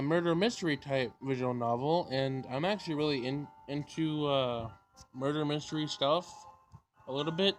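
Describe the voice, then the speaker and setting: A man with a somewhat high voice introduces a game as a let's-play host. His delivery is casual and informal, with a slightly hesitant flow.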